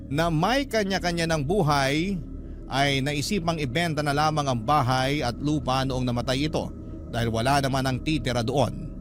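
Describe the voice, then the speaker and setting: Casting: Filipino male narrator, slightly high voice, scripted radio drama storytelling with clear background music.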